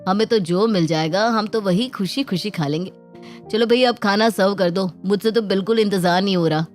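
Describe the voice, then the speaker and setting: A woman voicing a blunt, impatient young family member in a children's story, complaining in a hurried way. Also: mid-range voice, a little mellow, lightly acted. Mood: eager. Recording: background music under the voice.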